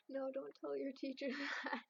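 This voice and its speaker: A woman's voice: high-pitched, vocal fry, fairly bright tone, highs cut off. A young woman streaming as she draws, chatting casually and rambling, often laughing and now and then dropping to a whisper. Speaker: streamer drawing on request. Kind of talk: casual chat while drawing.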